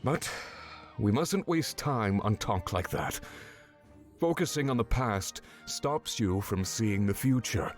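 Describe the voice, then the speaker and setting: A man plays a stern, guarded warrior mentor from a fantasy game, with a fairly low voice. He speaks in solemn, measured lessons weighed down by remorse, over faint music. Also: animated. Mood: stern lecturing. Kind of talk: scripted video game character acting.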